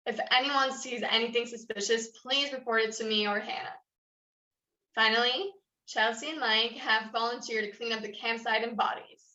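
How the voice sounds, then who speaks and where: A woman playing a formal, firm official who chairs a meeting in a staged reading, speaking in a measured, authoritative way. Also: fairly high pitch, fairly even intonation, sharp tone. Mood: brisk, official. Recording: roomy, dull lo-fi sound.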